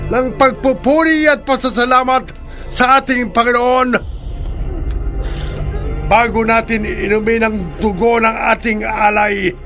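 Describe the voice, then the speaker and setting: An actor playing a zealous male cult leader who leads a dark ceremony, speaking in a solemn preaching manner, in a horror radio drama. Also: extremely high pitch, little pitch movement, a little slow, faint music behind, exaggerated voice. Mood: fervent.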